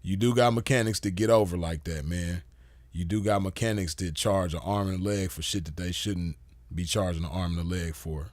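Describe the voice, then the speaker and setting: A man's voice: low-pitched, steady tone, brisk, a little mellow, dry recording. A man giving a casual commentary monologue as host, laid-back and full of "you know" fillers. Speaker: podcast host.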